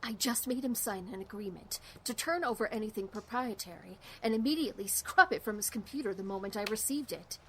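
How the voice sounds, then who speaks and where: A young woman acting in an audio drama as a sharp, wry, tech-savvy younger sister. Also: mid-range voice, fairly quick, hardly any pauses, a little hiss or hum, natural voice. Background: music.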